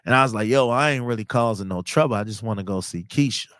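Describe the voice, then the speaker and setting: A man's voice: lowish voice, fast talker, a bit bright, clear, resonant tone, dry recording, clean studio sound. A man in his thirties from telling personal stories in a casual, slangy, conversational way as a podcast host. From Chicago, United States. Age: 30s. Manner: conversational, slangy, acts out quoted dialogue.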